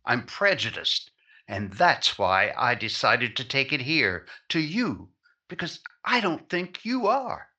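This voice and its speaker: An actor playing a dogged, urgent newspaper reporter in an old-time radio crime drama. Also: male voice, medium pitch, big pitch swings, slow pace, bright, forceful tone, muffled recording, full character voice. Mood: earnest, urgent.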